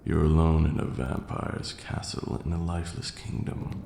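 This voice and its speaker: A man's voice: rumbling low, steady tone, normal speed, creaky, roomy sound, background noise, exaggerated voice. A man playing a haughty but caring vampire lord in an ASMR roleplay, speaking formally and sternly. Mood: quiet, attentive.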